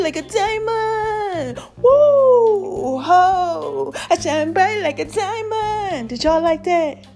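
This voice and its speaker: Lively New woman, high swooping voice, a little raspy, preachy chat to her viewers. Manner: animated, calls herself Mama, addresses viewers as y'all. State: slightly raspy voice. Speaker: online commentator. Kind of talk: casual preachy chat to followers.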